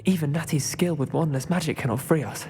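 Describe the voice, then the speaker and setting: Husky, dignified captive wizard. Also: male voice, fairly high pitch, quick pace, darkish tone. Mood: weary, grateful. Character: weary, dignified imprisoned wizard, married man. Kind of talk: video game voice acting, scripted dialogue. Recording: long echoing stone-chamber reverb.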